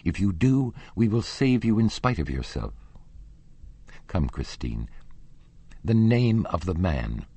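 Storybook young aristocrat, played by a male narrator: lovesick, impassioned, formal, with a husky edge. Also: deep voice, expressive, measured pace, darkish tone, no room sound, pitched above the performer's own voice, exaggerated voice. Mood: pleading, urgent. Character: anguished, pleading young male lovesick nobleman.

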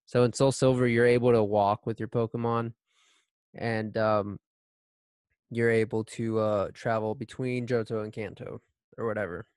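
A man's voice: fairly low pitch, fairly even intonation, normal speed, darkish tone, clean tone, no room sound. Male co-host with a Texan accent, meandering and profane, dry close-miked sound. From Texas. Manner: rambling, profane, trails off. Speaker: podcast co-host. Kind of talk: casual chat.

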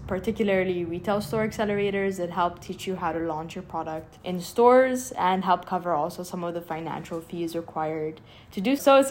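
A young woman from Egypt, a startup founder, answering interview questions in an earnest, eager way with lots of "like" fillers. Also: fairly low pitch. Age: young adult.

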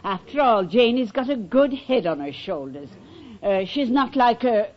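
A woman's voice: on the high side, expressive, unhurried. An actress playing a proud, huffy but kindly older landlady with theatrical fussiness in a 1940s radio comedy. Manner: indignant, fussy, theatrical. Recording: dull vintage radio recording.

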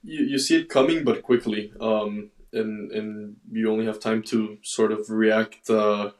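Low-voiced young man in an echoey room. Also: fairly even intonation, darkish tone. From Ecuador, raised in Miami. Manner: measured, halting with fillers and restarts. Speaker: racing driver guest. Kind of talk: casual interview.

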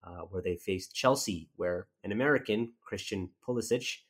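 A man's voice: low voice, normal speed, husky. A man hosting a podcast, introducing a guest in a chatty, easygoing way. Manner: chatty, easygoing, with fillers and restarts. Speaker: podcast host.

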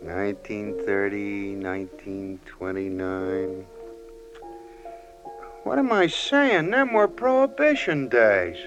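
A man's voice: fairly low pitch, animated, takes it slowly. An actor playing a folksy, nostalgic barman pal in an old-time radio drama, with ungrammatical, musing talk. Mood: wistful. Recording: muffled old radio recording with music.

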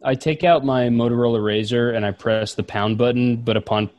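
A man's voice: mid-range voice, steady tone, normal speed, a little mellow. A man playing a comedic tabletop game, narrating his character's absurd actions with giggles. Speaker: player.

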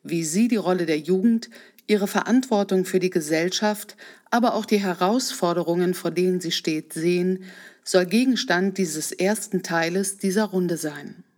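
A woman narrates a scripted introduction in a somewhat low voice. Her reading is formal and even. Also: normal speed.

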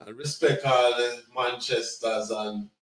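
A man in his sixties with a Jamaican accent, a reggae singer telling stories of his youth in a relaxed interview. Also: medium pitch, measured pace, echoey room, recorded from a distance. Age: 60s. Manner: relaxed, laughing, patois phrases. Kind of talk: casual interview storytelling.

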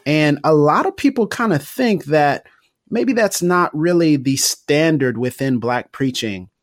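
A man's voice: fairly high pitch, expressive, measured pace, dry recording. Engaged male podcast host, dry sound. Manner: engaged, affirming listener. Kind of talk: conversational interview.

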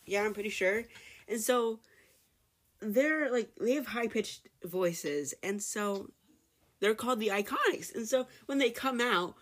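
A woman's voice: on the high side, animated, measured pace. A woman in a casual chat who rambles, filling almost every phrase with "like". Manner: rambling, heavy use of "like".

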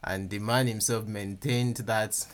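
A man whose first language is Yoruba, speaking English with a Yoruba-influenced accent and explaining things in a measured, conversational teaching way, with frequent restarts and "um"s. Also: on the low side, fairly even intonation.

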